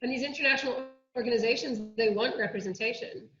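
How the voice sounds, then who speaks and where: Higher-voiced American woman in an echoey room. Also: quick pace, fairly bright tone. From United States. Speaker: academic oceanographer presenting a programme. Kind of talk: online workshop presentation. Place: indoor room, online workshop. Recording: muffled, roomy online-call audio.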